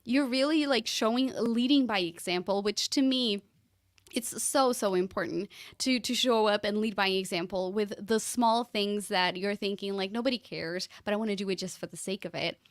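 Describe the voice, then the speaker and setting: A woman's voice: mid-range voice, normal speed, no echo, studio quality. Woman on a podcast. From Portugal. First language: Portuguese. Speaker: podcast guest. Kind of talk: casual podcast conversation.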